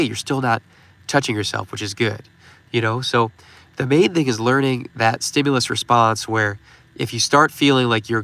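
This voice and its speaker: Stuffed-up man with a gravelly voice. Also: medium pitch, pushed and bright, dry recording. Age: 30s. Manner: rambling, frequent "you know" fillers. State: stuffed-up nose. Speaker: channel host. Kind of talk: casual advice talk on a stream.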